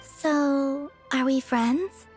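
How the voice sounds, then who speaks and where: A woman's voice: sits high, fairly even intonation, drawn-out words, darkish tone, faint music behind, rough recording. A woman voicing a serene, oddly innocent young woman in a video game, blunt in a guileless, detached way. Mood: innocent, placid.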